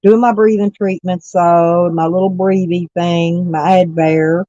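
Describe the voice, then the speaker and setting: A hoarse woman with stuffy sinuses, rambling folksily as she chats with live viewers from her camper van. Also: on the low side, steady tone, a little slow, warm tone, dry recording, dull audio. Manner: rambling, folksy, self-correcting. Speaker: vlogger chatting with live viewers. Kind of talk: casual morning chat.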